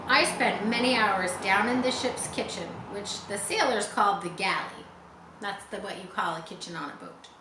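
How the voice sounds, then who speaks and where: A woman reads an adventure story aloud for children. Her delivery is expressive, with explanatory asides for young listeners. The room echoes around her medium-pitched voice, with faint noise behind it. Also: normal speed. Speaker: audiobook reader. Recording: roomy echo, faint background noise.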